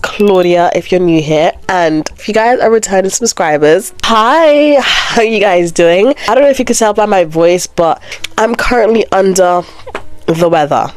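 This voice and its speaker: A woman with a head cold talks through a stuffed-up, nasal voice, lively and quick to exclaim. An artificial echo setting leaves a long, ringing reverb behind every word. Her pitch sits in a middle range for a woman. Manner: animated, exclaiming and laughing in disbelief. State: has a cold, blocked nose. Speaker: crochet channel host. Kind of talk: chatty reading and reacting to online posts.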